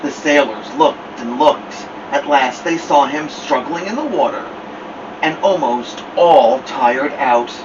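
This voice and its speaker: A man reading a children's picture book aloud as a storytime host, theatrically, with drawn-out words and dramatic pauses. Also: medium pitch. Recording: distant mic, dull sound, noisy background hum.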